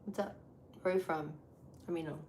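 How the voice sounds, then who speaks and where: A woman live streamer with a deep voice, chatting casually with her viewers while she sets up a game. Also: fairly even intonation, brisk. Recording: echoey room with loud background noise.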